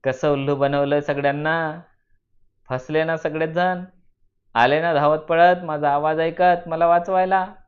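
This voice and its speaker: Man playing a loud mischievous shepherd boy. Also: on the high side, fairly even intonation, muffled recording, pitched above the performer's own voice, close to an everyday voice. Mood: mocking, gleeful. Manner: loud, drawn-out shouting and teasing. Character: mischievous shepherd boy crying wolf and mocking villagers.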